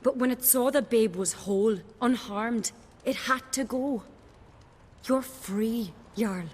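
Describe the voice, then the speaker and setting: An actress voicing a bold, confident young noblewoman who talks briskly and teasingly. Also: a little high, darkish tone. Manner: brisk, teasing and sure of herself.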